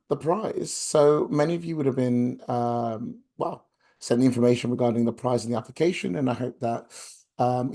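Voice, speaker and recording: A young man with a hoarse voice from a chest infection, presenting a webinar over a video call in a halting, friendly way with many filler sounds. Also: medium pitch, dark tone. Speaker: outreach coordinator.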